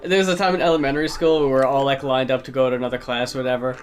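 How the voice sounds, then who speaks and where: A man's voice: medium pitch, fairly even intonation, racing, faint music behind. A young man co-hosting a casual riff on old commercials, joking, swearing and telling rambling stories. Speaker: co-host riffing on old commercials. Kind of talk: casual group riffing.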